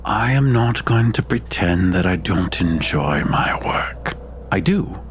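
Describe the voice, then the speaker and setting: A man's voice: low-pitched, animated, slow pace, slight background noise, pitched below the performer's own voice. Man playing a swooping, fussy dragonborn scholar. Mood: earnest. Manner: formal, indignant, fussy. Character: earnest, nosy, pompous scholarly male dragonborn adventurer. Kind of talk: improvised tabletop roleplay.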